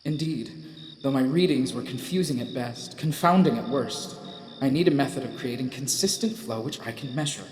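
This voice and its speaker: A man's voice: mid-range voice, normal speed, a little mellow, a little hiss or hum. A man voicing a frail telepathic professor with an echoing mind-voice. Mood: courteous. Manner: courteous, precise, scholarly. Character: frail hairless male scholar speaking mind to mind. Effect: reverb on the telepathic voice. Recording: roomy hall-like reverb.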